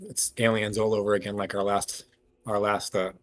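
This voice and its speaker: A man's voice: on the low side, little pitch movement, moderate pace. A man talking casually and analytically as he works through a mystery at length as a tabletop game player. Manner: analytical, reasons aloud at length.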